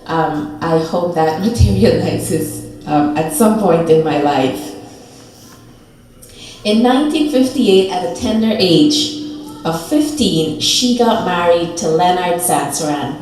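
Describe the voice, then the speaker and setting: A woman giving a tender, measured eulogy for her grandmother at a funeral. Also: on the low side, expressive. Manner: slow, tender, measured delivery with soft fond asides. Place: funeral hall. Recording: echoey hall from far off, faint noise.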